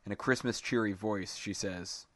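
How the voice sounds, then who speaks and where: A man reading a first-person short story aloud in a slow, measured way. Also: lowish voice, no room sound. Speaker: story reader.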